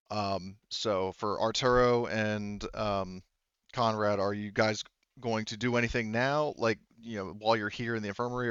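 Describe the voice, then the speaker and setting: A man with a smooth, lowish voice runs a tabletop roleplaying game. He explains the situation haltingly, rewording as he goes. The recording is dry and a little dull. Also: fairly bright tone, no room sound. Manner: halting, explanatory, circles back to rephrase. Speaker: game master.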